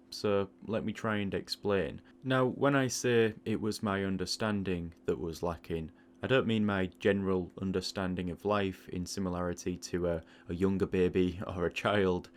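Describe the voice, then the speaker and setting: A man's voice: deep voice, slight background noise. A man giving a thoughtful, explanatory spoken monologue as an artist hosting an audio log, correcting himself as he goes. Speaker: artist and video host.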